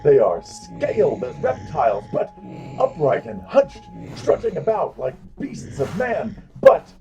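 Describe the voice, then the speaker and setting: A man's voice: fairly high pitch, huge pitch swings, a little slow, warm tone, soft background music, full character voice. A man playing a pompous, bumbling tavern drunk in a fantasy comedy audio drama, with florid, theatrical mock-archaic speech. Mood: bewildered.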